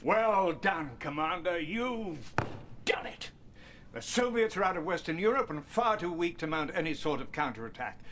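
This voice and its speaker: Game officer: stiff British field marshal, fairly high male voice, clipped authority, faint noise. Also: expressive, moderate pace, a bit bright. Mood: approving. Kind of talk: video game cutscene acting.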